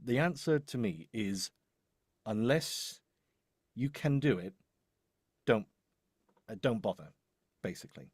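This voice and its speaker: A man's voice: mid-range voice, expressive, slow pace, pauses often, a little mellow, husky, no room sound, studio quality. A man chatting casually and explaining his work, hesitant and rambling as he thinks aloud. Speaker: voice-over demo producer.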